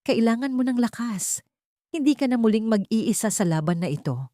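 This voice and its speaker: A woman's voice: fairly high pitch, animated, normal speed, dark tone, no echo, studio quality, voice pushed up, lightly acted. A woman narrator voicing a solemn, grieving old father, protective and vengeful, in an audiobook. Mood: tender.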